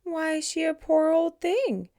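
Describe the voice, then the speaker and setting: A woman's voice: very high voice, a little slow, warm tone, pitched above the performer's own voice. A woman voicing a small, curious boy with an innocent, questioning manner in a storybook.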